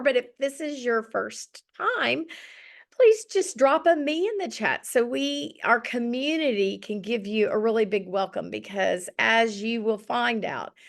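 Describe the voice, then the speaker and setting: Woman, a bit scratchy, middle-aged, chatty and encouraging, video call, slightly high voice. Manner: chatty and encouraging, with many ums. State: slightly scratchy voice after illness. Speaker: journaling session facilitator. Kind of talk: casual online group session.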